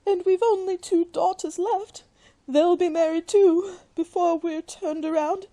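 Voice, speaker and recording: A woman's voice: very high voice, fairly even intonation, measured pace, dark tone. A woman playing a sentimental, fretful middle-aged wife and mother in a melodramatic old-time radio style. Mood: weepy, lamenting. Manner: melodramatic wailing. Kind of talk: old-time radio comedy-drama acting.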